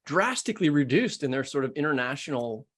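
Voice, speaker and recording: A man's voice: mid-range voice, sing-song, a little fast, a bit bright. A man hosting a podcast, chatting casually as he puts questions to a guest. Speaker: podcast host. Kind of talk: casual interview talk.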